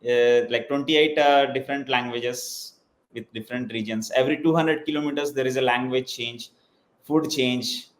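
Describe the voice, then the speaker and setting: A man from South India with a South Indian accent, a native Telugu speaker, hosting a podcast interview and pausing often with "uh". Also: medium pitch, steady tone, reverberant. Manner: hesitant, many "uh" pauses. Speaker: podcast host. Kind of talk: casual video-call interview.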